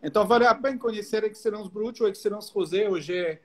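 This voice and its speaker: A man's voice: high voice, fast, fairly bright tone. French-accented middle-aged man on a video call. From France. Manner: friendly, explains with many restarts. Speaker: guest enologist. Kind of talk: live video call interview. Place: home room, indoors. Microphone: video call on laptop.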